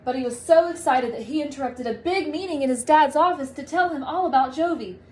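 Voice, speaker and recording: A woman reading a children's storybook aloud in an expressive, emphatic manner. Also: sits high, fairly quick. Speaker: storybook reader, teacher.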